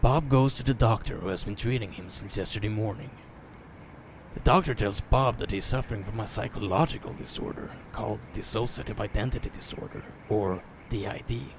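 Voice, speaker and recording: A man narrating a story as a dramatic reading, theatrical in manner with deliberate pauses. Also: lowish voice, gravelly, background noise.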